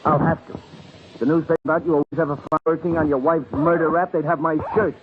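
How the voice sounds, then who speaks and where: An actor playing a blunt but friendly police superior, matter-of-fact and slangy, in an old radio crime drama. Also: male voice, on the high side, steady tone, fast, natural voice. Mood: firm, placating. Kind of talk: mid-century radio crime drama acting. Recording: muffled, hissy old radio recording.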